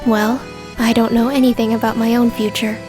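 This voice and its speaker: A woman voicing a reserved, polite and melancholy young female companion in a manga dub, speaking in a formal, measured, guarded way. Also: on the high side, flat delivery, over music, close to an everyday voice. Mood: guarded, pensive. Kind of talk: fan manga dub dialogue.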